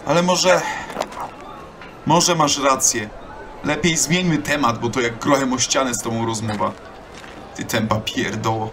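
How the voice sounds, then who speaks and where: A man's voice: mid-range voice, a little slow, pauses often, background noise, natural voice. An actor playing a bitter, argumentative young townsman in an audio drama. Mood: scornful. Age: young adult.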